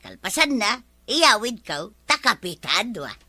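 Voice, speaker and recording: Woman acting a bright-voiced, pushy village borrower. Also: medium pitch, very animated, unhurried, no room sound, full character voice. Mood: scheming. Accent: Ilocano. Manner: complaining, pushy. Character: wheedling older village woman who borrows and grumbles. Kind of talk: Ilocano comedy drama acting.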